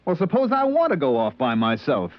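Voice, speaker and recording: Incredulous American spaceman, swooping pitch. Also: wildly swooping pitch, brisk, no echo. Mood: indignant disbelief. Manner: incredulous, questioning. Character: bewildered, indignant male spaceship crewman back in port. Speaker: radio drama actor, narrator-protagonist. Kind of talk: old-time radio drama acting. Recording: muffled old radio recording, faint music.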